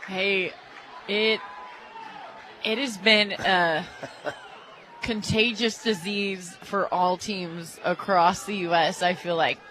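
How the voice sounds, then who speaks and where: American female game analyst, crisp bright edge, mid-range pitch, casual and conversational delivery from a radio booth. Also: fairly even intonation, slow pace, long pauses, a faint crowd, low-quality recording. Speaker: color commentator, former player. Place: radio broadcast booth at a ballpark.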